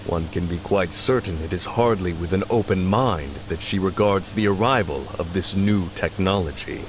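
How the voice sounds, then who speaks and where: Man playing a deep, stately formal dignitary. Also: normal speed, background noise, natural voice. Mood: solemn, formal. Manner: stately, formal, ornate phrasing. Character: deep-voiced, solemn, formal male dignitary.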